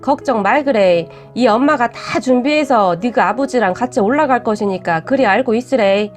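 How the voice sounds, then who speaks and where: Woman doing an older mother-in-law, Gyeongsang dialect, blunt, scolding, curt, high voice, faint music behind. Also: moderate pace, natural voice. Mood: gruff. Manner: curt, scolding, plain-spoken.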